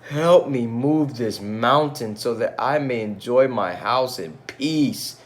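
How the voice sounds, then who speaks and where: A man's voice: mid-range voice, slow pace, lightly acted. A man voicing an earnest villager from a storybook, exclaiming his lines. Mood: pleading. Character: earnest, frustrated married villager eager to succeed.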